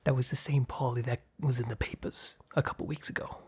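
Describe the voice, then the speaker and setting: A man playing a mob boss boyfriend, blunt yet flustered, in an intimate ASMR roleplay with a breathy, halting delivery. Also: medium pitch, fairly even intonation, a little mellow. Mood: confessional, cold. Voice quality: breathy, husky, often near whisper. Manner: halting, sighing, blunt, swearing.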